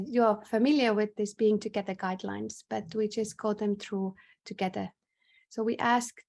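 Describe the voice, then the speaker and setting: A woman's voice: fairly high pitch, a little mellow. Finnish-accented woman chairing an online session. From northern Finland. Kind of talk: formal welcome and session introduction on an online call. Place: indoors in a bright room, on a video call. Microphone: video call microphone.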